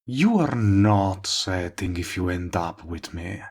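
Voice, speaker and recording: A man's voice: deep voice, expressive, darkish tone, exaggerated voice. A man playing a smug, possessive, lovestruck villain in a romantic comedy audio roleplay, talking to the listener in a sardonic, persuasive way. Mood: flirty, boastful. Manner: smooth-talking, sardonic, drawn-out emphatic phrasing. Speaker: voice actor.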